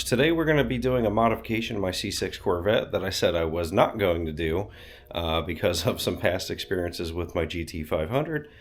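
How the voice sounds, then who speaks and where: Low-voiced man with a stuffy nose. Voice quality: slightly nasal. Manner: chatty, many fillers, blunt. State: congested from a cold, sniffling. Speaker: car channel host. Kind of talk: casual garage vlog. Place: cold home garage.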